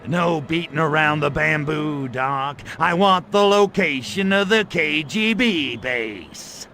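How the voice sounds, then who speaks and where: A man's voice: on the high side, takes it slowly, sharp tone, a little hiss or hum. An actor playing a cocky, wisecracking alien invader in a video game, sarcastic and swaggering in his slangy delivery. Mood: mocking swagger. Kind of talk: video game cutscene voice acting.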